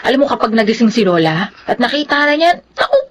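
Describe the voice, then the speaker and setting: A bossy young woman scolds and nags her little brother, as a woman puts her on. Her voice sits high and leaps up and down. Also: sing-song, fast, slight background noise. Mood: scolding. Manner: nagging, scolding. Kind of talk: dramatized storytelling.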